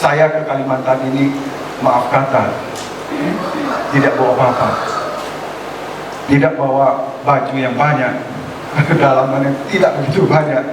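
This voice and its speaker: A male pastor preaching a sermon in a lively way, asking the congregation questions and acting out quoted voices. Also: medium pitch, measured pace, pauses often. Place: church hall. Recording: echoey hall, distant mic, noise.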